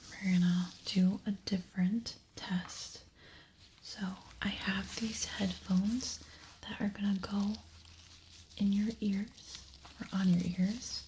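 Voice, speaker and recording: Slow, dark, whispering ASMR woman. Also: mid-range voice, steady tone, a little hiss or hum, poor audio. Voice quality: soft, breathy, mostly unvoiced whisper. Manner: gentle, reassuring, step-by-step instructing. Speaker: ASMR creator playing a hearing examiner. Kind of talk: whispered ASMR medical roleplay.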